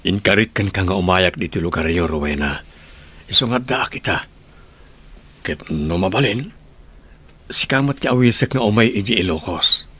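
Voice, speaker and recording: A man's voice: low voice, expressive, moderate pace, pauses often, sharp tone, breathy edge, heavily acted. A man playing a devoted father and reassuring suitor in a radio drama.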